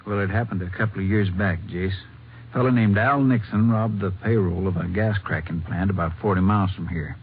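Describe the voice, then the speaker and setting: Folksy Texas sheriff, lowish voice. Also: male voice, a little fast. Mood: explanatory. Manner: folksy, plain-spoken. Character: folksy, reluctant small-county Texas sheriff. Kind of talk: 1950s radio drama acting. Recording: tinny, noisy 1950s radio recording.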